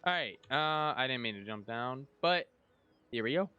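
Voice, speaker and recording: A male streamer talking casually over his gameplay. His mid-pitched voice is bright and very clear, and he chats informally with faint music underneath. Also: expressive, normal speed, muffled recording. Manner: informal, chatty. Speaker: streamer, gamer. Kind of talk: casual let's-play commentary.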